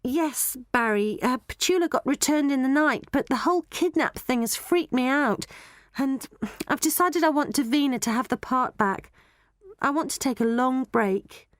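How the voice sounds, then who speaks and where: A woman puts on a young storybook girl, pitched high and light. She is clever and bossy, giving orders politely but firmly, in a dry recording. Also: lively intonation, normal speed, dry recording. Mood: apologetic.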